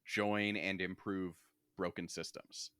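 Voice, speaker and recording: A man's voice: deep voice, flat delivery, slow pace, fairly bright tone, clean tone, no echo, studio quality. A man co-hosting a podcast in casual pop-culture discussion, with a wry manner and casual swearing. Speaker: podcast co-host.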